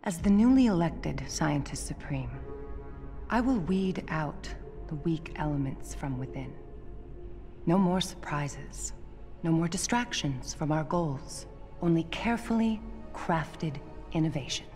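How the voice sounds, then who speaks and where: A woman playing a cold, commanding scientist villain in a video game, measured and imperious. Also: low voice, animated, warm tone. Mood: cold resolve. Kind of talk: cinematic game villain monologue. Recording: long hall reverb, music behind.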